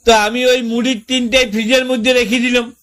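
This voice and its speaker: A man's voice: very high voice, flat delivery, brisk, a bit bright. A man playing an aggrieved village husband in a comic phone-call skit, telling long-winded, folksy complaints in a rural West Bengal accent. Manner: folksy, long-winded storytelling with exasperated repetition. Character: aggrieved village husband complaining about his new fridge.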